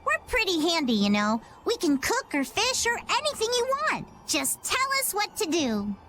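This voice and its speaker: A woman voicing a plucky, spirited young adventurer girl in an anime-style game, earnest and expressive. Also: very high voice, moderate pace, slight background noise, heavily acted. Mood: eager.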